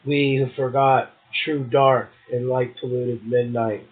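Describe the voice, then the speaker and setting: A man's voice: mid-range voice, flat delivery, slow pace. A man hosting a poetry show, reading verse and commenting casually between poems. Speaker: poetry show host and poet. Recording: muffled, narrow phone-like sound.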